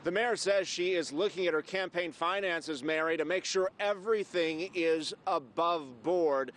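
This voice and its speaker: A man's voice: on the high side, measured pace, a bit bright. A man with an American accent delivering a scripted investigative news report.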